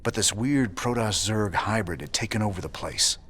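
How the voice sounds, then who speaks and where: A man's voice: fairly low pitch, animated, normal speed, fairly bright tone, breathy edge. A man voicing a weary, plainspoken space marshal with laid-back, drawling talk. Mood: worried.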